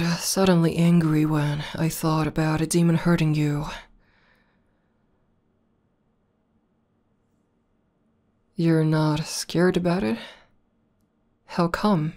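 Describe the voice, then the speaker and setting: A woman acts out a battle-hardened tomboy demoness who is devoted to her partner. Her voice is very low and warm, with a dark, soft edge. She talks slowly and tenderly, with halting pauses, in the close style of an ASMR girlfriend roleplay. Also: steady tone. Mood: sheepish. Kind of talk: intimate ASMR roleplay acting.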